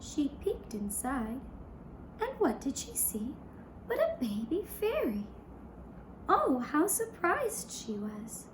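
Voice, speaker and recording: A woman narrating a fairy tale for a puppet show in a gentle, hushed, deliberate storytelling manner. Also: high voice, huge pitch swings, echoey room, a little hiss or hum, rough recording. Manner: slow, hushed, deliberate storytelling in short phrases.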